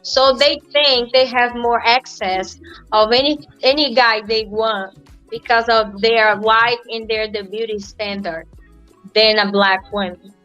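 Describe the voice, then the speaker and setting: Bright Brazilian-accented woman, earnest. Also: on the high side, steady tone, unhurried, highs cut off, soft background music. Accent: Brazilian Portuguese. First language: Portuguese. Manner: earnest, explains point by point with repeats. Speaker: guest, lawyer. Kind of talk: casual interview answers.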